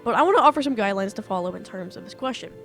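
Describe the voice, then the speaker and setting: A woman's voice: medium pitch, expressive, rapid-fire, a bit bright. A young woman talks through writing advice with a mid-range voice, earnest and methodical. Her voice sounds a little off after a recent illness. Faint music plays underneath. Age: young adult. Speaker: author and writing teacher. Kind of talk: casual explainer lecture.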